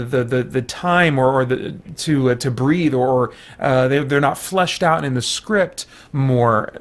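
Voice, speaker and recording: A man hosting a casual, unscripted movie review in an informal, rambling way with animated asides. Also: medium pitch.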